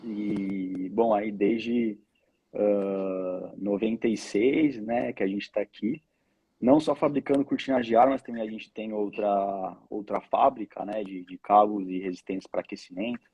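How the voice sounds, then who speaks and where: A man, chatting as an interview guest in a halting way, often checking for agreement. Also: on the low side, steady tone, mellow, slightly rough. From born in Argentina, raised in Brazil from age two. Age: 20s. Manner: halting, seeks agreement with tag questions. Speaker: guest, family air-curtain business representative. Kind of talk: casual interview talk. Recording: dull, lo-fi online call audio.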